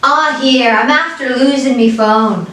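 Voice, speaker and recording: A young American woman tells stories playfully, laughing and acting out the lines people said. Her voice sits slightly high for a woman. She sounds a little away from the microphone in a very echoey room, over a faint hiss. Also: a little slow, fairly bright tone, recorded from a distance. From United States. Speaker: co-host. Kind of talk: casual chat.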